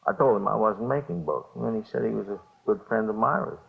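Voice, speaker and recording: An actor playing a jumpy middle-aged small-time bookmaker confessing to police in halting, repetitive speech, in 1950s radio drama style. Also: male voice, lowish voice, vocal fry. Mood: weary, explaining. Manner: halting, repetitive, nervous hedging. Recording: dull old radio recording.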